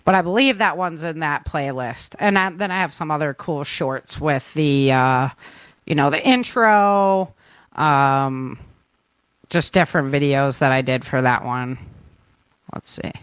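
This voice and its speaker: Clear-voiced woman hosting a podcast, upbeat. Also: deep voice, measured pace, no echo, studio quality. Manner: upbeat, informal. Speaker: podcast host. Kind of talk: casual chat and sign-off.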